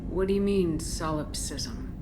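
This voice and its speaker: A woman playing a confident soldier commander in a video game, speaking in a direct, steady way. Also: fairly low pitch, a little slow, background noise, natural voice. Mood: probing. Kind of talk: video game dialogue acting.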